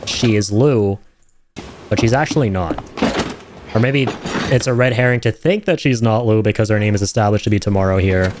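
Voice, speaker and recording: A man's voice: fairly low pitch, moderate pace, noisy background. A man giving enthusiastic, speculative commentary on game trailers in a casual streaming style. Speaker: reaction video host, streamer.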